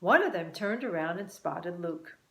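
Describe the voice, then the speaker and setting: A woman reading a children's story expressively and deliberately, with dramatic pauses. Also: fairly low pitch, lively intonation, vocal fry. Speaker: author and teacher reading own book. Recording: roomy, echoing room sound.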